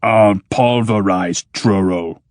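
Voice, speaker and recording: Guy doing a big dumb stubborn machine, booms slow and flat, low voice. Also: no echo, clean studio sound. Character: dim-witted stubborn giant male thinking machine.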